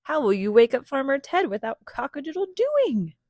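A woman voicing a concerned farmyard sheep from a storybook in a drawn-out, troubled way. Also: sits high, big pitch swings, a little mellow, no room sound, studio quality, pitched above the performer's own voice.

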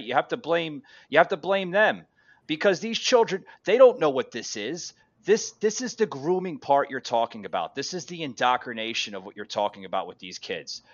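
A man's voice: medium pitch, fairly bright tone, dull audio. A man hosting an unscripted political debate, insistent and repeating his phrases for emphasis. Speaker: talk show host.

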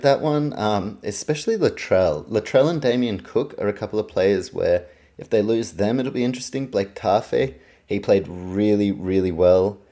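A man's voice: fairly low pitch, warm tone. A man with a New Zealand accent giving a casual, opinionated solo sports preview as a podcast host, informal and sweary. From Auckland, New Zealand.

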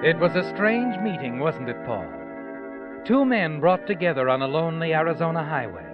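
An actor playing an ominous, knowing narrator in a 1940s radio suspense drama, speaking in an insinuating, measured way. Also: male voice, on the high side, animated, lightly acted. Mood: sly, insinuating. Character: ominous, knowing male narrator addressing the villain. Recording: muffled old radio recording with music.

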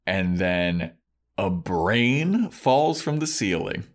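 A man's voice: sits low, very animated, drawn-out words. A man co-hosting a podcast, casually recapping a story in an informal way and quoting the characters as he goes. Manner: informal retelling with acted-out quotes. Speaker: podcast co-host. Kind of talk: casual chat recapping a TV episode.

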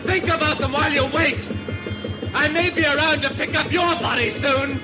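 An actor playing a clipped, bitter, vengeful English skipper with a British accent in a 1940s radio drama. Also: male voice, very high-pitched, normal speed. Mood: grim, vengeful. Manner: clipped, grimly sardonic. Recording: dull lo-fi 1940s radio, music behind.

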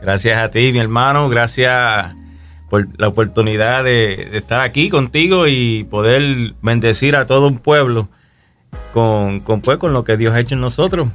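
A man with a Puerto Rican accent gives his testimony on a radio show, speaking in an easy, halting way full of fillers and restarts. Faint music plays softly beneath him. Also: mid-range voice. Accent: Puerto Rican Spanish. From Puerto Rico. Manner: relaxed, hesitant, many fillers and restarts. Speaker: guest musician.

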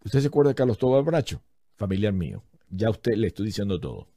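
Animated, husky man telling stories. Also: lowish voice, quick pace, no echo, clean studio sound. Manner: animated storyteller, acts out other people's remarks. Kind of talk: casual comedic storytelling.

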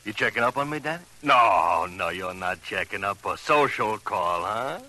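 Jovial, slippery ex-con, sharply bright voice. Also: male voice, fairly low pitch, lively intonation, normal speed, heavily acted. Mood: effusive, jovial. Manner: effusive, repeats words, coos over birds. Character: jovial, slippery ex-con pigeon fancier, doting and evasive. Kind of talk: 1950s radio crime drama acting. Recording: noisy old radio recording.

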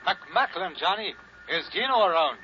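An actor playing a brisk, businesslike man reporting in a 1950s radio drama. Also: high voice, animated. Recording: muffled, noisy old radio-show recording.

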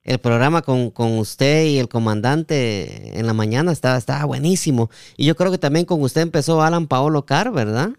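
Smooth-voiced man hosting a podcast. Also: medium pitch, very animated, a little mellow, dry recording, clean studio sound. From Guatemala. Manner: halting, repeats words while forming questions. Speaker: podcast host. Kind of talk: casual interview chat.

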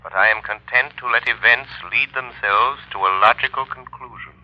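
An actor playing a mysterious avenger, calm, formal and deliberate, in an old radio drama. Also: male voice, medium pitch, no echo, close to an everyday voice. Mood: calm, commanding. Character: mysterious, calmly authoritative male avenger, coolly sceptical. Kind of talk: 1930s radio acting. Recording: dull, close, old radio recording.

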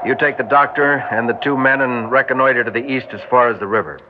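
Actor as stern military commander, tinny radio sound. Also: male voice, medium pitch, quick pace, lightly acted. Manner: clipped, barking orders. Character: stern military commander of a space landing party. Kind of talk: old radio science fiction drama acting. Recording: tinny old radio recording with clear noise.

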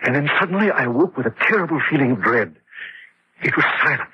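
An actor playing a sinister older man, a vampire recounting his tale slowly and insinuatingly in a vintage radio horror play. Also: mid-range voice, lively intonation, lightly acted. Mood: fearful. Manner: slow, insinuating, dramatic. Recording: dull, noisy old radio recording.

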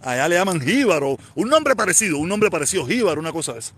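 A man's voice: high-pitched, very animated, racing, a bit bright, slightly rough. A man in his forties from Havana, speaking with a Cuban accent, chatting casually and rambling on a live stream, repeating himself and answering viewer comments. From Cuba. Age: 40s.

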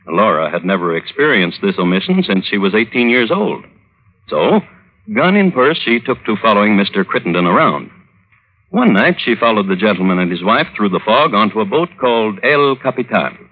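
A man hosting and narrating an old-time radio show in a wry, droll, deliberate storytelling manner. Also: on the low side, lively intonation. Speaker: host and narrator. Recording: tinny vintage radio recording.

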